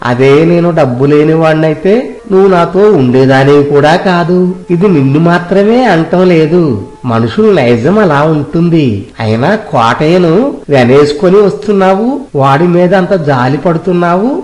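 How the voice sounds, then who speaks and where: A man's voice: on the high side, expressive, moderate pace, a little mellow, lightly acted. A man playing a miserly, scheming village moneylender in a story, wheedling and condescending with emphatic delivery. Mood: lecturing. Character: miserly, scheming village moneylender husband, smooth-talking and greedy. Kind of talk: scripted Telugu moral story dramatization. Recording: roomy echo, music behind.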